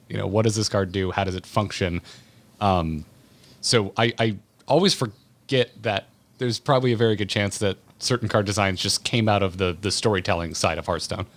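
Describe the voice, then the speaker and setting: Male podcast host, fairly low voice, conversational, thinking aloud in roundabout phrases. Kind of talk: casual interview conversation.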